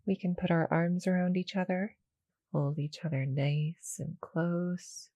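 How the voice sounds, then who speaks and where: A soft, breathy cuddle monster girl played by a woman, speaking slowly and close to the mic in a dry, intimate ASMR roleplay. She is small, sweet and soothing, a little hesitant, and often slips toward a whisper. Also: on the low side, steady tone, no echo. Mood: cozy, affectionate. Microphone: close, dry studio-style mic.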